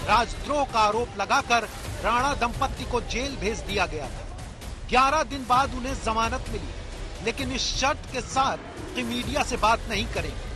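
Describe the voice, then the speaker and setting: A man narrating a television news report in a scripted voice-over. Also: extremely high pitch.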